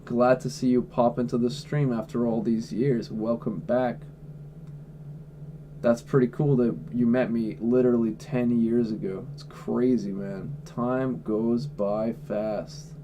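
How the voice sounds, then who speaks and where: Male streamer at home, casual and blunt, mellow tone, faint background noise. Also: mid-range voice, flat delivery, slow. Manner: blunt, offhand, swears freely. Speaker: livestreamer answering chat questions. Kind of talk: casual livestream chat. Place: at home indoors.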